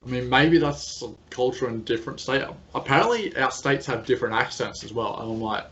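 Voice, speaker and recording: An Australian man hosts the interview, chatty and quick with his opinions. His voice sits at a middle pitch. The audio has a dull, lo-fi quality. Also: lively intonation, a bit bright. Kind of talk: casual interview chat.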